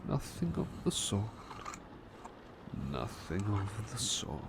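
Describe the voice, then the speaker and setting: Man playing a low, slow, doting butler. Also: sing-song, very noisy, heavily acted. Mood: chatty, soothing. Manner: hesitant, repeats phrases soothingly, often drops to whispers. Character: doting, cheeky, gentle male butler pampering the listener. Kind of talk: ASMR personal attention roleplay.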